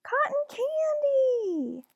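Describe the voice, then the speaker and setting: A woman voicing an excitable toddler boy in a storybook, with babyish exclaiming speech. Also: extremely high pitch, extremely slow, vocal fry, voice pushed up, heavily acted. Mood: excited. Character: excitable little toddler boy jumping and miscounting.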